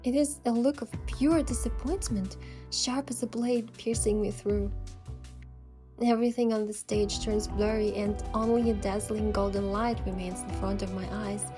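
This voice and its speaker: A woman's voice: medium pitch, fairly even intonation, normal speed, warm tone, close to an everyday voice. A young woman playing a sincere, sensitive heroine who narrates her story in a game, earnest and careful, with music behind her. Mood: anxious, shaken. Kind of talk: scripted first-person game narration.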